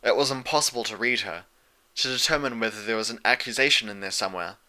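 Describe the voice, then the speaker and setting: A man reads fiction as a narrator. His pitch sits in the middle and his tone is strikingly bright and crisp-edged, in a scripted storytelling style. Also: quick pace. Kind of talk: fan fiction audiobook narration.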